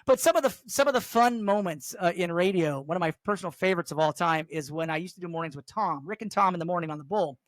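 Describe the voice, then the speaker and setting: A middle-aged man, a radio host, chatting casually and telling stories with a higher voice and lots of restarts. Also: animated, fast, hardly any pauses, no echo. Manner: chatty, storytelling, frequent restarts. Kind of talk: casual chat.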